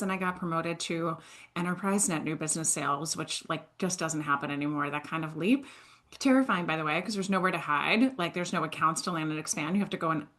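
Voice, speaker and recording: A woman in her thirties, originally from Switzerland, chatting as a podcast guest in a slightly low voice. She tells her story in an animated, self-deprecating way, moving quickly between upbeat highs and quieter asides. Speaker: guest, sales entrepreneur. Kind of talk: casual podcast interview.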